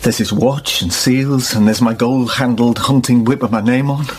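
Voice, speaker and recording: A man acting a troubled, middle-aged gentleman in a radio play. His medium-pitched voice is grave and weighty, halting under guilt. A faint noise runs under the recording. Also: steady tone, close to an everyday voice. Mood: grim, shocked.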